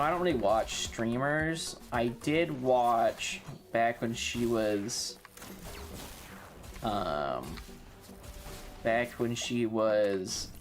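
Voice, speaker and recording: A woman streaming a game and chatting casually in a sweary, offhand, conversational way. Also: a bass voice. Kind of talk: casual livestream chat while gaming.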